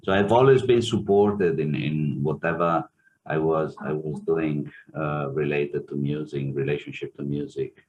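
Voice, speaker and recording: Italian pianist in interview, deep voice, slow and searching, heavy fillers. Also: male voice, a little mellow, lo-fi sound. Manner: hesitant, many fillers and restarts. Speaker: pianist and music professor, interview guest. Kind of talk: reflective interview answers.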